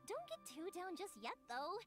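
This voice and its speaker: A woman's voice: very high-pitched, huge pitch swings, normal speed, a bit bright, faint music behind, exaggerated voice. An actress playing a cheerful, kind young woman who makes fireworks in an anime game, with an earnest, chatty, encouraging manner. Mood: consoling, friendly.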